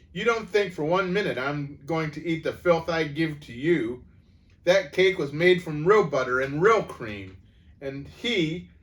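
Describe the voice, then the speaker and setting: A man voicing a furious, bullying headmistress who barks and booms insults at a child. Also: a bit bright. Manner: booming, barking insults. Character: bullying hulking headmistress, woman, furious and cruel.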